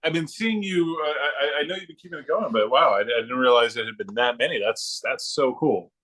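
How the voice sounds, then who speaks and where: A middle-aged American man talking casually as a podcast guest, easygoing and halting, with plenty of fillers. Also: medium pitch, a bit bright. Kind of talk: casual chat.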